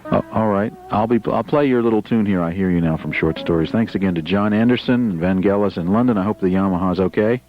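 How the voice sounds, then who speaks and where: A man's voice: on the low side, fast, few pauses, faint music behind. A man hosting a live radio show, friendly and stammering through his questions to callers. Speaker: radio host. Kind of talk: live on-air phone interview. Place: radio studio. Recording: dull broadcast radio recording.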